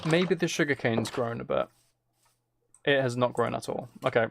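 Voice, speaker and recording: A man casually commentating on his game and chatting to viewers, muttering along in a low-key way, close to the mic. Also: normal speed, vocal fry, a little hiss or hum. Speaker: streamer playing a game while chatting with viewers.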